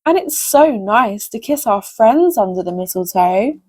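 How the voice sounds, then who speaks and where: A woman's voice: mid-range voice, animated, a little slow, a little mellow, breathy edge, dry recording. Woman as an eager, lilting little pixie boy. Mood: curious. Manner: inquisitive, eager.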